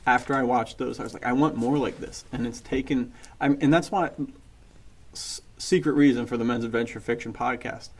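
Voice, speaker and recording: A man's voice: mid-range voice, fairly even intonation, a little fast. A man chatting casually about books as a podcast co-host, in an enthusiastic, slangy and informal way. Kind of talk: casual book chat.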